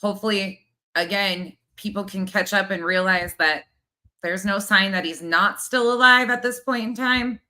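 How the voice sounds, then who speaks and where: Sharp, sarcastic woman reacting. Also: mid-range voice, normal speed. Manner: sarcastic, mocking, repeats points. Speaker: host reviewing another commentator's video. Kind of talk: casual reaction commentary.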